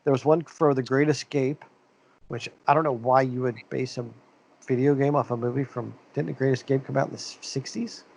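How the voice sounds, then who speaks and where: A middle-aged man from the American Midwest chats loosely on a podcast with friends. He wanders from thought to thought, restarting sentences and filling gaps with "uh" and "like". His voice sits at an ordinary pitch. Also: fairly even intonation, fairly quick, creaky, darkish tone, low-quality recording. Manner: rambling, many restarts and fillers. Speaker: podcast co-host. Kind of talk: casual chat.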